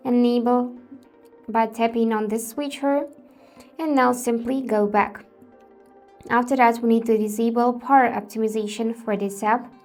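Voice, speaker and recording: Slow-paced, higher-pitched woman giving tutorial. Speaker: tech tutorial presenter. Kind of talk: step-by-step tutorial narration.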